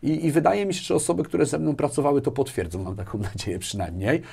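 A man in his forties talks as an interview guest in a fairly high voice. He explains things casually, repeats words as he goes and often rounds off a thought with a short questioning tag. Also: fast, vocal fry, slightly rough. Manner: animated explaining, repeats words, tags sentences with a questioning "right?". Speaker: interview guest, sales executive. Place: small furnished indoor studio. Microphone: lapel mic.

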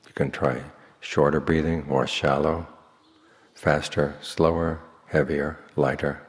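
A man speaking as a Buddhist monk, leading a guided meditation in slow, measured phrases with long pauses. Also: rumbling low, flat delivery. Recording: long hall reverb.

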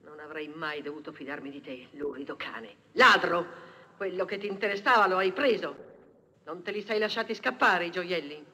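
A woman's voice: fairly low pitch, expressive, fairly quick, bright, forceful tone, big-room sound. A woman playing a vindictive, domineering villainess with a scornful, accusing manner in a vintage film. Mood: scornful, angry. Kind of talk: vintage Italian film acting.

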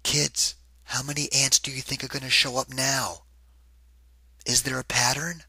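A man giving an interactive children's read-aloud in a deliberate, singsong rhythm, like a teacher with a picture book. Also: medium pitch, little pitch movement, creaky, bright, forceful tone, husky, no echo.

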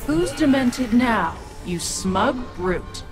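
A woman's voice: on the high side, expressive, takes it slowly, loud music behind the voice. A woman voicing an ancient, wicked demon witch in a video game, taunting and haughty. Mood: menacing, taunting. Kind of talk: video game villain acting.

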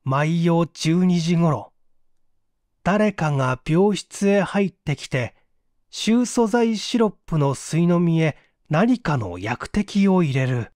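A man voicing an anxious, flustered gentleman in a mystery story, earnest and defensive. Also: a little high, expressive, slow pace, dry recording, studio quality. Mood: anxious, confessing.

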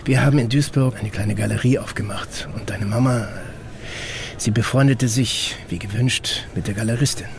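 An actor playing a guarded middle-aged investigator in an audio drama, explaining things in a terse, matter-of-fact way. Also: male voice, mid-range voice, moderate pace, gravelly, noisy background, lightly acted. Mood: measured, guarded. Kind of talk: German audio drama dialogue.

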